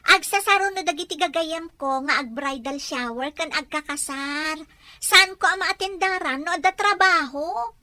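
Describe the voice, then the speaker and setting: A woman's voice: very high-pitched, normal speed, bright, forceful tone, dry recording, heavily acted. A woman with a Filipino accent acting plaintive, put-upon family women in an audio drama. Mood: indignant.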